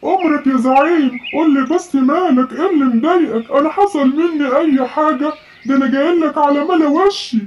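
A performer voicing a foolish, excitable cartoon monkey eager to please, in repetitive Egyptian Arabic. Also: high-pitched, quick pace, natural voice. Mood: fawning. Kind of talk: children's animated animal fable. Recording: muffled, noisy home recording.

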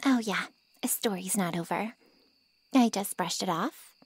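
A woman playing a haughty, callous ancient vampire in an ASMR roleplay drama, with mocking sarcasm and a breathy drawl. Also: mid-range voice, a little slow, no room sound. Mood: dismissive.

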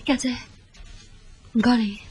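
Younger sister in her twenties, Hong Kong Cantonese, husky, fairly high, proud, emotional, radio drama. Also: steady tone, a little slow, darkish tone. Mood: grateful. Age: 20s.

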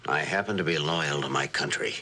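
An actor playing a proud, cornered American scientist, terse and defensive, in an old suspense drama. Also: male voice, a bass voice, brisk, sharp tone. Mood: bitter, defiant. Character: proud, cornered male government scientist, guarded and irritable. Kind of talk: mid-century American suspense drama acting. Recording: noisy old broadcast drama soundtrack.

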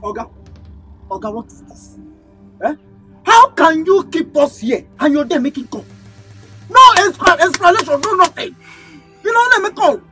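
Scornful nigerian lady passenger, super high voice, picking a fight, repeats taunts. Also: animated, normal speed, long pauses, a bit bright, soft background music. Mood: outraged. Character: belligerent, scornful tricycle passenger. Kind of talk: screen drama acting.

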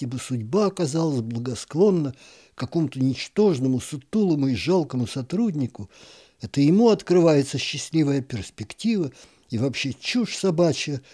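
Male narrator, wry and ironic, warm mellow voice, pitch moves a lot as he reads the story. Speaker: narrator reading a short story. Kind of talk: expressive literary reading.

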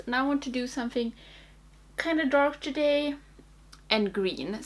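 Slow-paced young Swedish woman, casual vlogger. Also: fairly high pitch. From Sweden. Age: young adult. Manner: hesitant, halting, thinking aloud. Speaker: makeup video creator. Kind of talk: casual chatty get-ready-with-me vlog. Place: small indoor room.

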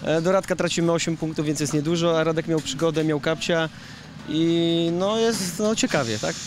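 A man's voice: fairly high pitch, fairly even intonation, moderate pace, slight background noise. A rally driver in his thirties giving an upbeat, rambling interview outdoors at a service park, speaking into a handheld mic. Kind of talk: post-stage interview. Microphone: handheld reporter mic.

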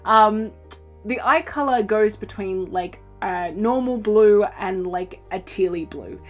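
A young woman chatting casually in an upbeat show-and-tell way, filled with little fillers and playful pitch jumps, recorded in a small sewing room. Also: takes it slowly, faint music behind. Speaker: cosplay vlogger. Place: small indoor sewing room with fabric shelves. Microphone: camera in front at desk distance.

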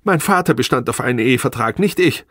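An angry, demanding estranged wife in an audiobook, acted out by a man. The voice is lifted high above a natural male register, loud and biting. It bristles with indignation and stresses every accusation, recorded very dry. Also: expressive, normal speed, slightly rough, no room sound. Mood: defensive, agitated. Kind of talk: audiobook character dialogue.

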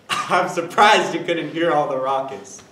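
A man's voice: a little high, a little slow, sharp tone. A young man playing a chatty 1960s sitcom husband in an exaggerated stage comedy style. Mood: chatty, excited. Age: young adult. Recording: echoey theatre stage from far off, noisy.

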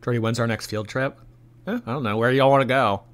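A man's voice: mid-range voice, fast talker. A young man with a slightly stuffy, nasal voice, chatting casually on a live stream and reading donations. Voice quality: a little nasal. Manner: animated, laughing, reacting to chat.

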